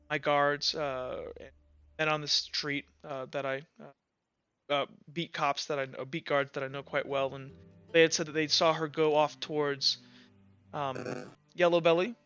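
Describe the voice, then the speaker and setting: Guard commander in a fantasy game, played by a man: shaken, reluctant witness, slow and stammering, bright clear tone. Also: on the high side, level tone, no echo, highs cut off, soft background music, exaggerated voice. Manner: halting, hesitant, stammering.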